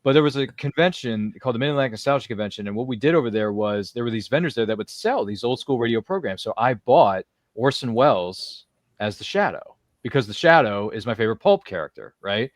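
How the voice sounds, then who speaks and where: Fast-talking jokey man hosting a podcast. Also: mid-range voice, lively intonation, no room sound, clean studio sound. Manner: jokey, chummy, rambling. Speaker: podcast host. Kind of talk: casual livestream chat.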